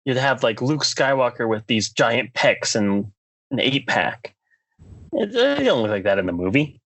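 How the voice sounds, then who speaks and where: An American man hosting a podcast, delivering a comedic rant in a theatrical, mock-announcer way with drawn-out emphasis. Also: medium pitch, very animated, gravelly, fairly bright tone, no room sound. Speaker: podcast host.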